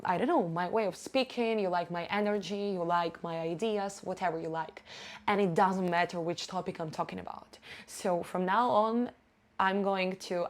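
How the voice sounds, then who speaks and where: Young Ukrainian woman, Russian native speaker, casual vlogger; blunt, emphatic, the odd swear word, small grammar slips. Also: lowish voice. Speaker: YouTuber and marketing agency owner. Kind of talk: casual talking-head vlog. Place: indoors, sitting before a camera.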